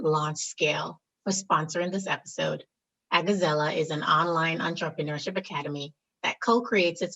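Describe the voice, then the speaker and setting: A woman's voice: on the low side, fairly bright tone, breathy edge, rough recording. A woman hosting a podcast, reading a sponsor message and asking her guest questions. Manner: reads sponsor copy, then asks questions. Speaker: podcast host.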